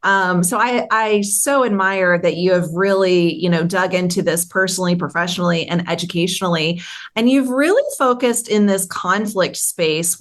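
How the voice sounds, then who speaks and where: A woman's voice: lowish voice, fairly bright tone. A woman hosting an interview podcast, speaking in an upbeat, enthusiastic and effusive way. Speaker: podcast host.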